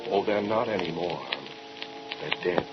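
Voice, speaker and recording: A man's voice: sits low, a little slow, very noisy. An American man playing a grave, persuasive brother in an old-time radio drama, insistent, pointed and probing. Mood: quietly probing. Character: persuasive, grave brother confronting a dangerous scientist. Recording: muffled vintage radio recording with music.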